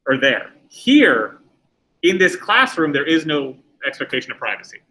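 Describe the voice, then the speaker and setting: A man's voice: a little high, very animated, moderate pace, pushed and bright, rough recording. A middle-aged man teaching a class, lecturing in a conversational way and putting questions to the students.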